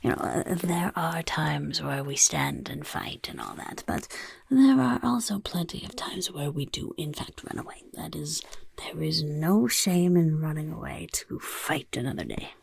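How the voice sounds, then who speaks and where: A woman voicing a sly, self-important goblin overlord who interviews recruits in soft-spoken ASMR roleplay, whispering and talking about itself in the third person. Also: deep voice, very animated, a little slow, full character voice. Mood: scheming. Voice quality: gravelly.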